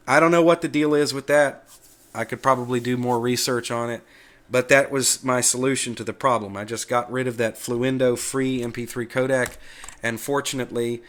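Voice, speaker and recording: Male tutorial host, medium pitch, folksy and plain-spoken, casual unscripted explaining. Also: a bit bright. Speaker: host of a Linux tutorial video. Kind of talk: casual screencast talk, explaining off the cuff.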